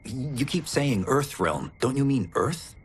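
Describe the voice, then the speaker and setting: An earnest, humble young fighter in a video game. He talks slowly and sincerely with expressive pitch, music clearly behind him. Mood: puzzled. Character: humble, earnest young village martial artist. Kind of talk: video game cinematic acting.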